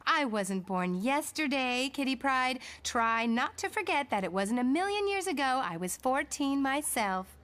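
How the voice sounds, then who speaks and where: A woman's voice: high-pitched, sing-song, unhurried, fairly bright tone, full character voice. An actress playing a protective, no-nonsense mother in a motion comic, speaking in an earnest, firm way. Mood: stern, sarcastic. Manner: earnest, firm, sometimes sarcastic. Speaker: voice actor.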